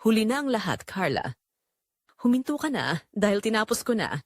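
A cold, controlled betrayed husband in a romance audio drama, played by a woman. The delivery is slow and deliberate, merciless and emotionless, in a dry, close recording. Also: mid-range voice, sing-song, dry recording.

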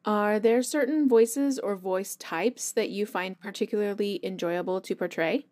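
A woman's voice: medium pitch, unhurried, a little mellow. A woman asking scripted questions as an interviewer.

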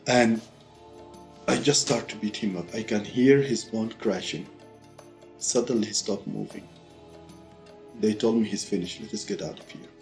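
Low-voiced middle-aged Sudanese man over music. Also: fairly even intonation, creaky, darkish tone. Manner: deliberate, short phrases with pauses. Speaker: testimony speaker. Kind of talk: personal testimony told to camera. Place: quiet furnished room with fireplace and bookshelves. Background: background music.

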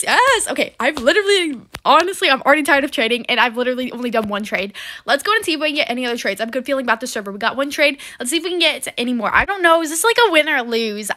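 Bubbly high-voiced young woman, stuffy nose. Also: sharp tone. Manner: chatty, full of fillers and "oh my gosh". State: stuffy nose, slightly losing voice. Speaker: gaming video creator. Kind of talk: casual gameplay commentary.

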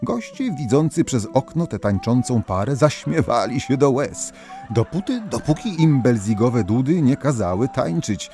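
A man narrating a scripted folktale as an expressive, theatrical storyteller. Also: mid-range voice, normal speed, faint music behind. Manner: theatrical, animated.

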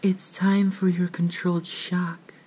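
Male amateur as a menacing animatronic, hushed and sinister, dark tone, unhurried. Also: sits high, flat delivery, husky, pitched above the performer's own voice. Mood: threatening. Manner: whispery, drawn-out, sinister. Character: slow, menacing, accusing horror animatronic.

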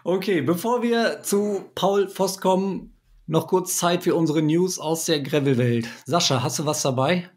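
A man in his thirties hosts a casual podcast, joking easily with his guests. His voice is a little husky, and it sits somewhat high for a man. He talks into a headset on a video call. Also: a little slow, darkish tone. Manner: jokey, laughs often, reads sponsor spots. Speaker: podcast host.

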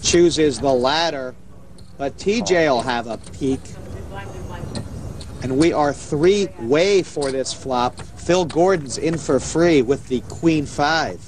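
Man, slightly high voice, play-by-play poker commentary, faint background noise. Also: takes it slowly. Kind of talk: television sports commentary.

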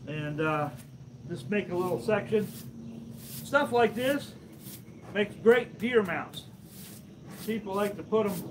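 A man in his fifties chatting casually and unhurriedly to viewers as he works in an open outdoor sawmill shed, explaining as he goes. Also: high voice. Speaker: host, sawmill woodworker. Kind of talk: casual live vlog while working. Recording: outdoor workshop noise, varying distance from mic.

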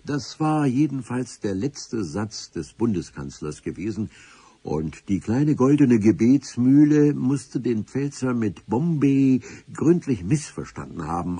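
A very husky, lowish male voice as a pedantic official narrating a radio play. He is solemn and formal, with wordy sentences and dramatic pauses, recorded dry. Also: warm tone, no echo, full character voice. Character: pedantic, solemn senior civil servant recounting adventures.

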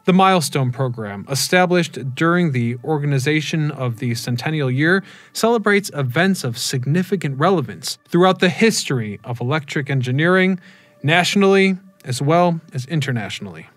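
Man narrating history, slightly high voice. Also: expressive, a bit bright, dry recording. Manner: measured, explanatory delivery. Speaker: history channel host. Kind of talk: scripted documentary narration. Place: dark studio with neon sign. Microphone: studio mic on boom.

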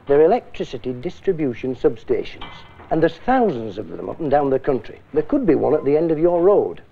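A man's voice: on the high side, big pitch swings, brisk, gravelly, a little hiss or hum. A man playing a stern, blunt middle-aged British science teacher, questioning and warning a class in a safety film. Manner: emphatic, questioning, admonishing. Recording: muffled, thin old public information film.

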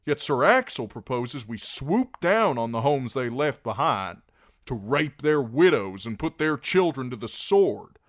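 A man's voice: medium pitch, big pitch swings, a little slow, no echo, clean studio sound, pitched above the performer's own voice. A man voicing an earnest, blunt knight in an audiobook, arguing in an insistent, impassioned way. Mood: impassioned, pleading. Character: earnest, blunt, plain-spoken male knight pleading for mercy.

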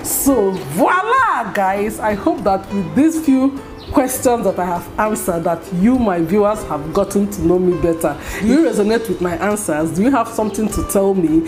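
A woman in her thirties with a Nigerian accent, an Igbo speaker, talking to camera in a get-to-know-me vlog with an animated, scolding, expressive manner. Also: mid-range voice, normal speed, music in the background. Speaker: vlogger. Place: small indoor room at home. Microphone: camera at close range.

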